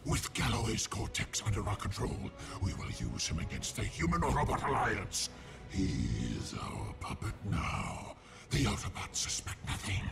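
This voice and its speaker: A man voicing a menacing, imperious robot warlord in a video game, with a gravelly voice. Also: lowish voice, wildly swooping pitch, moderate pace, music in the background, full character voice. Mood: gloating. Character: menacing evil robot warlord, scheming and aggressive.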